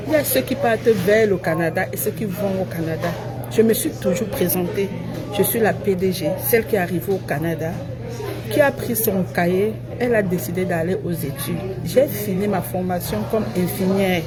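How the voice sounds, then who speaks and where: A woman speaks over very loud crowd-like chatter, at a middle pitch. She delivers a casual live-stream monologue in an emphatic, declamatory way, often repeating phrases for weight. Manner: self-assured, declamatory.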